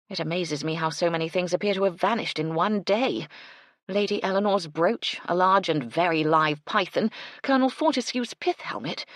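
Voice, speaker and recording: A woman voicing a composed, self-assured English lady of the house in a storybook, measured and commanding. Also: on the low side, dry recording, studio quality.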